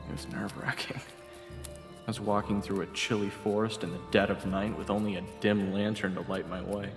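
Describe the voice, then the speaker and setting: A man's voice: on the low side, little pitch movement, normal speed, roomy sound, long reverb tail, over music. A man narrating a dramatic story in the first person as part of an audio roleplay.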